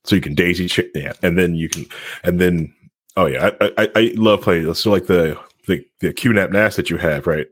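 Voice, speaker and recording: A man's voice: low voice, moderate pace, slightly rough, no echo. A man hosting a tech talk show in casual banter, rambling and jokey, often laughing.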